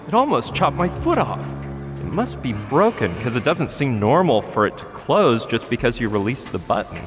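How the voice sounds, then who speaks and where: Actor as wry adventure-game hero, animated delivery, music bed. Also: male voice, medium pitch, moderate pace, in a large hall, natural voice. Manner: sarcastic, joking. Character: wisecracking young male adventure hero. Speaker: game protagonist.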